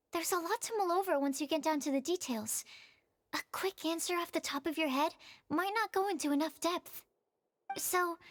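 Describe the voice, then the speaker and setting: Casting: studious, timid girlish alchemist in a video game, very high and earnest. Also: a little hiss or hum. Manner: earnest, hesitant. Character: shy, studious young female alchemist. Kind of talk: video game cutscene acting.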